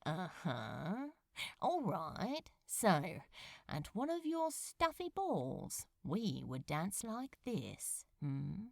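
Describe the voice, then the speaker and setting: A woman's voice: fairly low pitch, huge pitch swings, drawn-out words, gravelly, no echo, studio quality, heavily acted. A woman voicing a casual, roguish man in a fantasy story, terse and awkwardly hesitant. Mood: skeptical. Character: Crow, casual roguish man, flustered and smitten.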